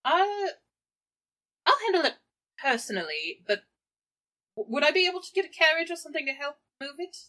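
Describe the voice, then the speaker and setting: A woman plays a tabletop game in a casual, improvised way. Her voice is fairly high and bright, and it moves expressively as she tells what her character does. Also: big pitch swings, a little fast, long pauses. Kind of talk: casual improvised role-play.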